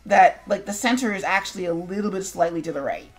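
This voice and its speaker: A woman's voice: on the low side, expressive, fast, poor audio. Sarcastic, blunt American woman chatting over music. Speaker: streamer, show host. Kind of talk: casual opinionated chat.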